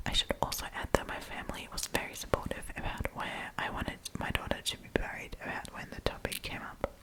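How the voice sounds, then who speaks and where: A woman hosting an ASMR storytime, reading online stories aloud in a soft, breathy whisper. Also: brisk, low-quality recording. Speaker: host of an ASMR storytime channel.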